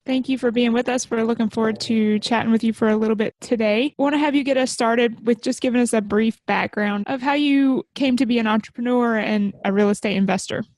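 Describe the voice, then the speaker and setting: A woman co-hosts the podcast in a fairly high voice. She welcomes the guest in a friendly way and asks him about his background. The sound is dry. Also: fairly even intonation, brisk, hardly any pauses, a little mellow, dry recording. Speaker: podcast co-host. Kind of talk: interview questions.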